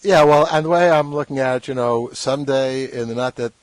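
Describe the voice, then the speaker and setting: A man's voice: mid-range voice, a little fast, no room sound. A man speaking as a podcast host, chatty and emphatic. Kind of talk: casual interview and scripted podcast segments.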